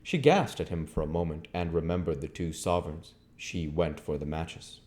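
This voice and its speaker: A man with a low-pitched voice reads a story aloud. He narrates in an audiobook style, working through literary prose. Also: normal speed, a little mellow. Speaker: audiobook reader.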